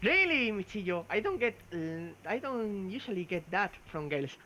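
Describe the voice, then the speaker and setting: A guest player in a co-op game stream, talking casually and hesitantly with many pauses. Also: mid-range voice, very animated, highs cut off, a crowd in the background. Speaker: co-op gaming guest. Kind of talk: casual co-op gameplay chat.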